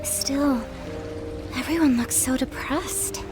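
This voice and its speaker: A woman playing a gentle, sincere young adventurer in a video game, soft-hearted and earnest. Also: high voice, slow, music in the background. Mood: concerned. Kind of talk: English video game voice acting.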